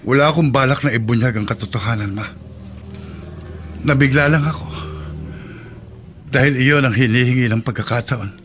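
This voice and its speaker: Radio drama: male actor as a firm, commanding politician father, mid-pitched, faint hiss behind. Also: normal speed, long pauses, a bit bright, close to an everyday voice. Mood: steady.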